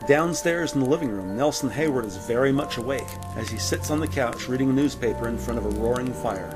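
A man narrating a crime audio drama from a script, measured and descriptive. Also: medium pitch. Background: music.

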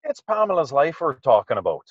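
Man playing folksy steadfast sidekick, radio mystery, animated delivery, dull echoey call recording. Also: fairly high pitch, a little fast, slightly rough, heavily acted. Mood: firm, reassuring. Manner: colloquial, emphatic, encouraging. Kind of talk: period radio mystery acting.

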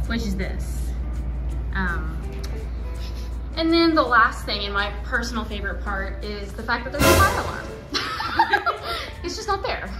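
An eighteen-year-old woman vlogging into a phone held at arm's length, chatting casually with a sarcastic, deadpan edge. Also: medium pitch, rough recording. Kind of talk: casual vlog chat. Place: car, then small hotel room.

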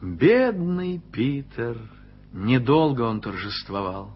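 A man narrating a 1980s radio play in a measured storytelling manner. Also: mid-range voice, very animated, noisy background. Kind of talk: scripted literary narration. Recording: lo-fi archival radio recording with music.

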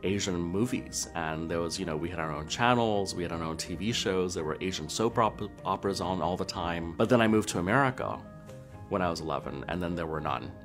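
Deep-voiced man, earnest interview. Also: fairly quick. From Singapore. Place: studio, colored backdrop. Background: faint music.

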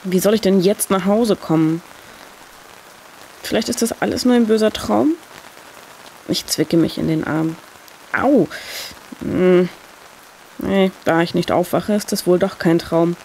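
A woman's voice: lowish voice, a little slow, long pauses, poor audio. A woman narrating a scripted first-person short story as a reader. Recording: steady rain noise behind voice. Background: rain sound effect.

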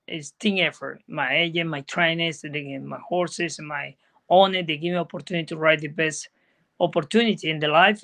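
A man's voice: fairly high pitch, pushed and bright. A middle-aged man from Venezuela with a Venezuelan Spanish accent, a native Spanish speaker, speaking earnestly in plain non-native English as a guest on a video call from a room indoors. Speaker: guest jockey. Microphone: video call from laptop.

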